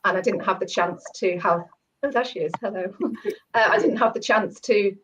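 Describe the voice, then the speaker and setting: A woman with a cold and a croaky voice, chatting as a guest author and then reading her own short story aloud. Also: mid-range voice, moderate pace, slight background noise. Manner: warm, chatty, with laughs and restarts. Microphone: video call. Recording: dull lo-fi video call audio.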